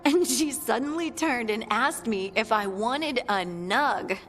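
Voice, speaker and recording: A woman playing a warm, earnest young scout in a fantasy video game, chatting in a friendly, conversational way. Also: a little high, animated, slow, a little hiss or hum. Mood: fond, amused. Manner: chatty, friendly, lightly amused.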